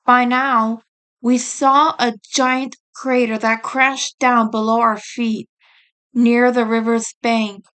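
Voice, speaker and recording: Slow, high-voiced woman narrating a story. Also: level tone, fairly bright tone, clean tone. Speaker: author reading own book chapter.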